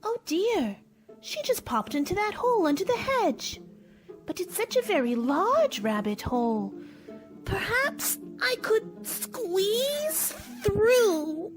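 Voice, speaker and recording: Very high, dreamy little girl. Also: very animated, takes it slowly, faint music behind, exaggerated voice. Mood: eager, hurried. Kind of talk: old-time radio play acting.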